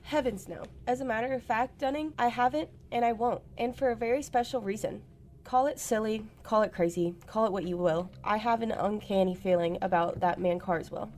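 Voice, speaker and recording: A woman's voice: fairly high pitch, fairly quick, darkish tone, a little hiss or hum, natural voice. A woman playing an anxious, irritable British science secretary in a radio drama, speaking emphatically. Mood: suspicious. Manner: emphatic, old-fashioned British turns of phrase. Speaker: actress. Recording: faint music behind a student radio play.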